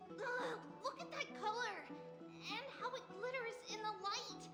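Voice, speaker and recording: A woman's voice: very high-pitched, a little slow. A woman voicing a small, childlike girl with an earnest manner in a video game. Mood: excited. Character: small squabbling childlike sisters, one soothing, one sulky. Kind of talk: English video game dub. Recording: echoey hall reverb, loud music. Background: loud game music.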